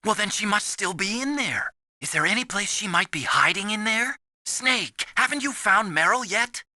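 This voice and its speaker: Nerdy young game scientist, very bright tense voice, animated swings, earnest, anxious. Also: male voice, high voice, moderate pace, no room sound, studio quality, full character voice. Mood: anxious, prodding. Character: earnest, timid young male scientist, soft-hearted and nerdy. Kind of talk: video game voice acting.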